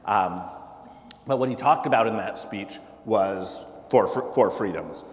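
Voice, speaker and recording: A man speaking as a political candidate at a town hall, halting and full of fillers and restarts. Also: on the low side. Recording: echoey hall with faint noise.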